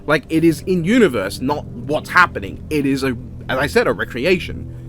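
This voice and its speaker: Animated Australian man, slightly high-pitched. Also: normal speed, faint music behind. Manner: opinionated, sweary. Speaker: video reviewer. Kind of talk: casual video-essay review commentary.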